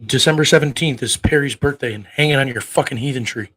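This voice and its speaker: A man's voice: mid-range voice, fast, a bit bright. Thirties male livestream preacher, mid-range voice, combative and profane, fires rhetorical questions. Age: 30s. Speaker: online preacher. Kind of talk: livestream talk answering chat.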